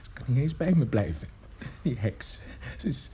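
Bitter old widower, swinging wildly in pitch. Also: male voice, sing-song, warm tone, breathy edge. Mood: bitter ranting. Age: older. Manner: rambling, halting, repeating words. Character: bitter drunken old widower, self-pitying and maudlin. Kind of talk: radio drama acting.

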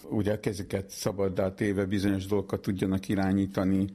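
A man's voice: sits low, completely flat delivery, mellow, slightly rough. A middle-aged man, a psychologist, patiently explaining his research in an interview and correcting misconceptions step by step. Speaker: psychologist guest.

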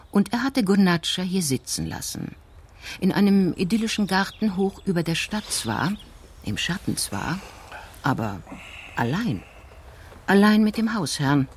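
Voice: medium pitch, darkish tone, slight background noise. A narrator reading scripted literary prose for a radio crime drama.

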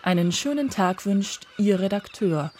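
A woman's voice: mid-range voice, animated, measured pace, a little mellow, a little hiss or hum, natural voice. A woman playing a liveried seagull messenger in a radio play, delivering a note in a formal, mock-solemn manner.

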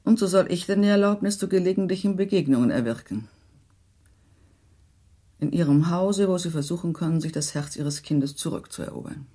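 An actress playing a gracious, tactful minister's wife in a German radio play, speaking in a formal, measured, diplomatic way. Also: female voice, fairly low pitch, mellow, natural voice.

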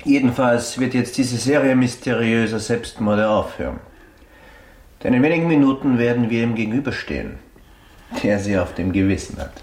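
An actor playing a brisk, resolute gentleman in a radio play, with a formal and decisive manner. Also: mid-range voice, lightly acted. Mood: resolute, intent. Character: brisk, resolute gentleman taking charge, named Felix. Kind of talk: naturalistic German radio play acting.

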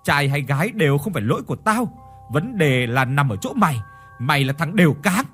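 A man's voice: mid-range voice, sing-song, a little fast, darkish tone, slightly rough. A man voicing a wronged young wife, anguished and defiant, with a tearful, choked delivery in a dramatic audio story. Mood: furious, screaming. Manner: tearful, choked, repeating phrases in outrage. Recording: music behind the voice.

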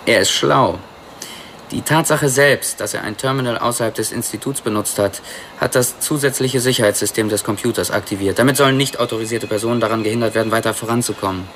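Actor as a wry computer expert, noisy background. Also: male voice, medium pitch, fairly even intonation, quick pace, fairly bright tone, lightly acted. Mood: explanatory, pleased. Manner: explanatory, wry. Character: smug, clever male computer expert, wry and pedantic. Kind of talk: radio drama dialogue.

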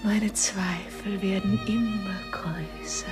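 An actress playing a dreamy, lovestruck young sweetheart, coy and breathy, in a 1950s German radio play. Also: female voice, medium pitch, steady tone, slow pace, natural voice. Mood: coy, enamoured. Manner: coy, lingering, teasing. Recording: 1950s radio recording, music behind.

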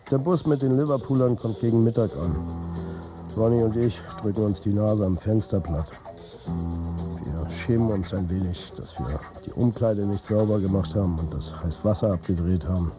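A man's voice: fairly low pitch, lo-fi sound, close to an everyday voice. An actor playing a wry, weary football coach and family man, narrating a radio drama in a colloquial, confiding way. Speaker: narrator and protagonist.

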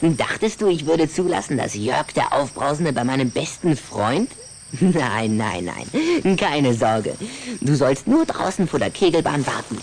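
Cheeky bossy little boy vampire, teasing, boastful, animated intonation, children's radio play, noisy cricket-filled background. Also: a bass voice, a little slow, exaggerated voice. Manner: teasing, commanding, exclamatory.

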